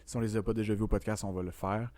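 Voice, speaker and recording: Man, Quebec French accent, lowish voice, rapid pace, informal podcast host, casual film talk. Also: little pitch movement, warm tone, dry recording. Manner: colloquial, informal.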